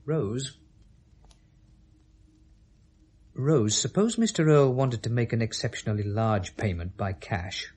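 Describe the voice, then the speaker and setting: A man's voice: mid-range voice, expressive, normal speed, a little mellow, slightly rough. A man acting in a 1970s British radio drama, playing a proud, defensive photographer with an indignant, insistent manner. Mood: quiet, probing. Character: proud, defensive male picture-postcard photographer under questioning. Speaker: actor in a radio play.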